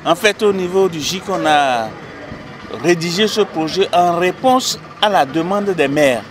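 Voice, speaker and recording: A man with a Beninese French accent speaks in a slightly high voice against noticeable outdoor traffic noise. He explains things formally, like an official being interviewed, and often pauses in the middle of a phrase to find his wording. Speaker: president of an intermunicipal association, interviewee. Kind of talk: interview answers, explaining a project. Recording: noisy outdoor urban recording, traffic behind.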